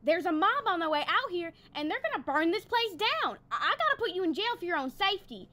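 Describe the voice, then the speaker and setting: A woman's voice: extremely high pitch, big pitch swings, fast, bright, forceful tone, heavily acted. A woman playing a suspicious, folksy, commanding female sheriff in a 1940s-style radio drama. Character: gruff, suspicious armed female country sheriff.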